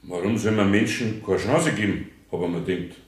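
A Bavarian master carpenter, played by a male actor testifies in a radio play. His voice sits fairly low and rings in a roomy space. He speaks plainly and respectfully, with a dry, resigned edge. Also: fairly quick, close to an everyday voice. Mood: earnest, resigned. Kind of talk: German radio play courtroom acting. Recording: roomy 1970s radio drama studio.

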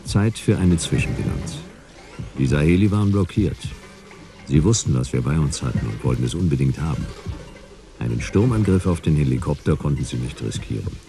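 A man's voice: rumbling low, expressive, a little fast, vocal fry, dark tone, faint music behind, full character voice. A man playing a laconic, world-weary private detective in a radio play, with dry, sardonic delivery. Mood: terse, tense. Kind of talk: radio play acting and first-person noir narration.

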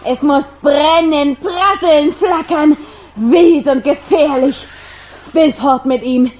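A woman voicing a young, restless girl fire spirit in a German children's radio play, girlish, excitable and wide-eyed. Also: high-pitched, slow pace. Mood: yearning, wild.

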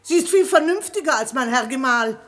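An actress playing a sharp-tongued, sensible middle-aged wife in a 1960s German radio comedy, nagging and scornful. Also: female voice, sits high, lively intonation, brisk.

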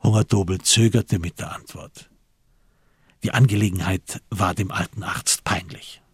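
A man narrating a radio crime drama in a measured, sober, literary manner, with a husky voice. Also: low voice, fairly even intonation, no echo, clean studio sound, exaggerated voice.

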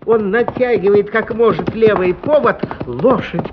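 A man's voice: high voice, measured pace, muffled recording, background noise. A man narrating a story for a radio play in a dramatic, scripted style.

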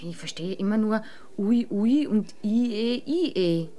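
A quick-witted woman sleuth in a radio drama. Her medium-pitched voice moves up and down with lively inflection. She questions everything with playful skepticism. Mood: curious, skeptical. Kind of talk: German radio drama dialogue.

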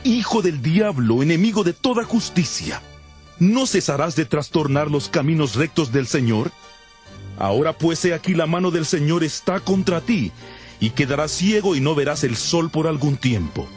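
An actor playing the apostle Paul as a fervent, solemn, declamatory preacher in a dramatized audio Bible. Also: male voice, a little high, lively intonation, moderate pace, a little mellow, lightly acted. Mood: stern, condemning. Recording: music under the voice.

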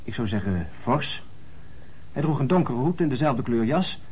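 An actor playing a sporty, obliging but vague local witness in a Dutch radio play, husky-voiced, informal and hesitant. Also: male voice, medium pitch, very animated, moderate pace, darkish tone. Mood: unsure, recalling.

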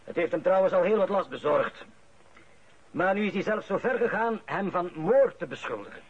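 A husky older Flemish father coaxes and soothes. His pitch moves in wide, animated swings, and the recording is muffled. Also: moderate pace. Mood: urgent. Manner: coaxing, animated, persuasive. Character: older father, soothing and coaxing, quietly scheming. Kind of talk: radio play acting. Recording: muffled radio drama recording.

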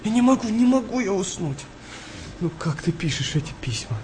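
Shy young sailor lad, noisy backdrop. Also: male voice, high-pitched, sing-song, moderate pace, darkish tone. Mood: exhausted. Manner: hesitant, confiding, naive. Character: shy, sensitive, exhausted eighteen-year-old sailor lad. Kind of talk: naturalistic radio play dialogue.

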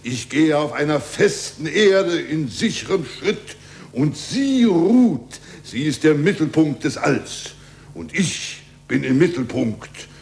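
A self-important, indignant churchman. His voice sits on the high side and he declaims grandly, as in a 1940s radio play. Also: gravelly, a little mellow, heavily acted. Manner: pompous, declamatory. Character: indignant, self-important churchman defending an earth-centred universe.

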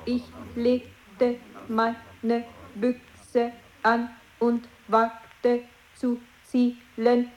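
An actress reciting a hunting tale in a flat, drawn-out way in a radio play. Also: female voice, a little high, poor audio.

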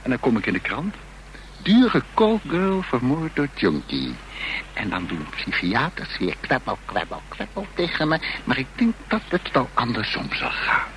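An actor playing a reckless, taunting young gang leader with a death wish in a Dutch radio drama, in a mocking, excitable manner. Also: male voice, medium pitch, a little slow, heavily acted. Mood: cold, bitter. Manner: mocking, excitable, breaking into laughter. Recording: noisy radio drama recording.

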